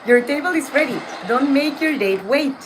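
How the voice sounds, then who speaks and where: A woman's voice: high voice, animated, moderate pace, background noise, voice pushed up. A woman reading the lines of a helpful young male student in a plain, recited way. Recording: game music loud behind.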